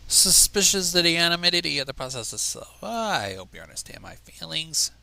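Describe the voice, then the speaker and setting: Man playing a shy, awkward, creaky young guy. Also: a little high, sing-song, fairly quick, bright, forceful tone, pitched above the performer's own voice, exaggerated voice, low-quality recording. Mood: apprehensive. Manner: hesitant, nervous mumbling.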